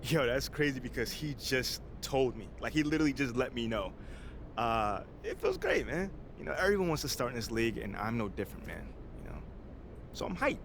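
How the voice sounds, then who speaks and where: Lively young basketball player. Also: male voice, medium pitch, fairly quick, vocal fry, noisy background. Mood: upbeat, playful. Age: young adult. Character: young male pro basketball rookie, easygoing, earnest team player. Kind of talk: naturalistic video game cutscene acting.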